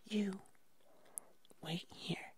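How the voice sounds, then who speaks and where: Slow, whispering ASMR woman. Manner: gentle, slow, pampering, full of pauses. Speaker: ASMR performer. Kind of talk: whispered ASMR personal attention roleplay.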